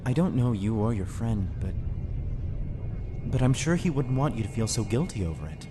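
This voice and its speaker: A man playing a kindly, consoling man with a private grief in a fan anime drama, speaking gently and reassuringly. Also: mid-range voice, lively intonation, moderate pace, lightly acted. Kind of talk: fan dub anime parody acting. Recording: music under the voice.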